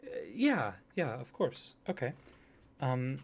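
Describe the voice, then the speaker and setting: A soft-spoken man hosts an ASMR roleplay as an eager card designer. He talks slowly and unhurriedly, his mid-range voice rising and dipping with lively, expressive melody. The gentle delivery stays quiet and close. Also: mid-range voice, slightly rough.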